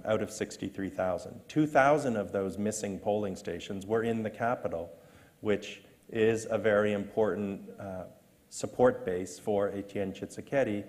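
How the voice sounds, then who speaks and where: A lower-voiced man from Canada speaks at a panel with measured, dry asides. His voice carries the long reverb of a lecture hall. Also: a little mellow. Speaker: panelist on elections. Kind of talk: panel discussion.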